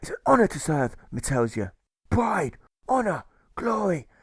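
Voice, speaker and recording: Blustering, high-pitched pirate jailer. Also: male voice, very animated, a little slow, husky, no echo, clean studio sound, full character voice. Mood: brooding. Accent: stage pirate. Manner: blustering, barking, exclamatory pirate slang. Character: gruff, volatile old sailor turned jailer, war veteran.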